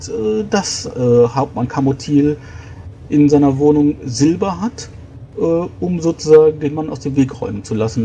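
Male role-player, soft dark tone, rambling explainer, noisy home voice chat. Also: mid-range voice. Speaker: tabletop role-playing player. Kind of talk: in-character planning talk, improvised.

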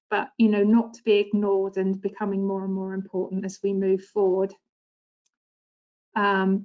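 A woman walks listeners through a slide on a webinar, her pitch sitting in the middle. She talks in an easygoing, thoughtful way, full of "you know" and "um", from a home office over a webcam. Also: darkish tone, highs cut off. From England. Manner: conversational, many fillers, thoughtful. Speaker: agronomist presenter. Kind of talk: webinar presentation. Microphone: webcam video call.

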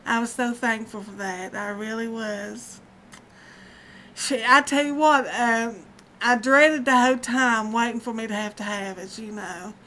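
A woman's voice: a little high, fairly even intonation, measured pace, bright, forceful tone. Chatty woman in her fifties. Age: 50s. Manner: rambling, chatty, laughs often. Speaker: vlogger. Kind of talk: casual personal vlog. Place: dim room at home, indoors. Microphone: webcam at close range.

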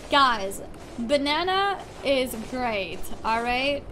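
A woman's voice: high voice, very animated, extremely slow. A young adult woman chatting casually while streaming a game. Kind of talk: casual gaming chat. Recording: clear background noise, keyboard typing.

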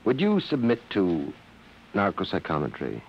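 An actor playing a composed, probing army psychiatrist, measured and formal, in 1950s radio drama style. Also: male voice, low-pitched, huge pitch swings, exaggerated voice. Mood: measured, clinical. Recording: dull, noisy vintage 1950 radio recording.

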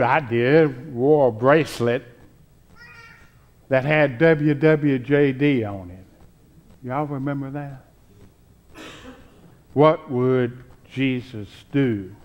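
Slow, deliberate older preacher man. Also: medium pitch, highs cut off. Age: 60s or older. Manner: deliberate, emphatic, with pauses between phrases. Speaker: pastor preaching. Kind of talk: Easter sermon from the pulpit. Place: church sanctuary, at a pulpit with choir behind.

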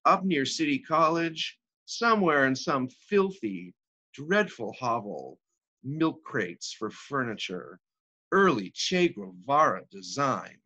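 A man acting a worldly, wealthy divorcee who reminisces in a sardonic, profane stage monologue with drawn-out emphasis. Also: medium pitch, very animated, bright, forceful tone, husky, dull audio, pitched above the performer's own voice, exaggerated voice. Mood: wry, reminiscing. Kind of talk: theatrical dramatic monologue reading.

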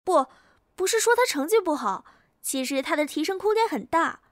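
A young woman playing a lively, bossy, teasing high school girl in an amateur audio drama. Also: normal speed, dry recording, clean studio sound. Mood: matter-of-fact, friendly.

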